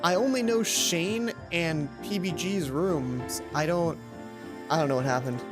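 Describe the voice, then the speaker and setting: A woman's voice: on the low side, unhurried. A woman hosting a let's play, chatting casually and playfully with some self-deprecation. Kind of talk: casual gaming commentary mixed with reading dialogue aloud. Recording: music playing behind the voice. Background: game music.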